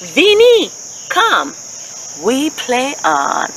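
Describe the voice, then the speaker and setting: Slow, high-voiced woman reading to children outdoors. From Haitian American. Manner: addresses young listeners with questions, enunciated and animated. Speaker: children's story reader, host. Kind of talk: expressive picture book read-aloud for children. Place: outdoors in a leafy park. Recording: steady outdoor ambient noise with insects. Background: insects, birds, outdoor ambience.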